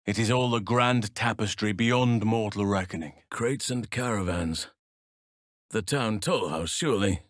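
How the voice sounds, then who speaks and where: A man plays a solemn, gentle druid healer for a fantasy video game. His voice sits on the low side and is recorded very dry. He phrases things formally and with old-world gravity, like a wise elder of the wilds. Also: no echo, close to an everyday voice. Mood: solemn, measured. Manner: measured, formal, archaic phrasing.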